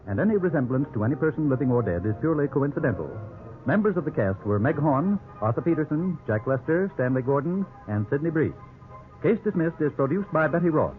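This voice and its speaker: An American man announces in scripted 1950s radio style. His delivery is highly animated, and the sound is a thin, band-limited old broadcast with faint music. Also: phone-quality sound.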